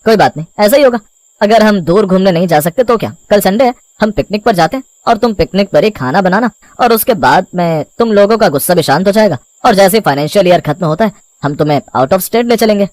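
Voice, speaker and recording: An actor playing an exasperated, pleading young husband in an animated moral story, speaking in an emphatic, complaining way. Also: male voice, high-pitched, fairly quick, no echo, low-quality recording. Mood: cheerful, conciliatory.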